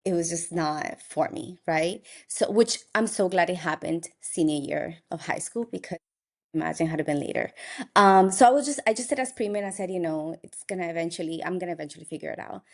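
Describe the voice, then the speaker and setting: Lively young woman optometrist. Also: lowish voice, brisk, vocal fry. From Colombia. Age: young adult. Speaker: optometrist guest. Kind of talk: casual interview storytelling.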